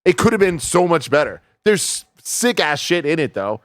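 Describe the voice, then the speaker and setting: A man's voice: fairly high pitch, sing-song, moderate pace, no room sound. Man chatting casually, close dry sound. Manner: slangy, sweary. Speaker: talk show panelist. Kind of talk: casual chat about films.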